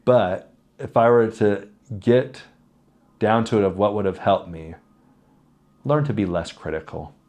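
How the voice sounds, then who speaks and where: A man in his thirties with a raspy voice, candidly answering interview questions in a reflective, conversational way with personal stories. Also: lowish voice, a little slow, long pauses, a little mellow. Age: 30s.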